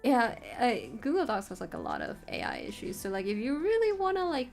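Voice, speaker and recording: A woman's voice: fairly high pitch, wildly swooping pitch, fairly quick, creaky. Woman giving a casual, meandering livestream tutorial over music. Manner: informal, meandering explanation. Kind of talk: casual livestream walkthrough of a writing tool.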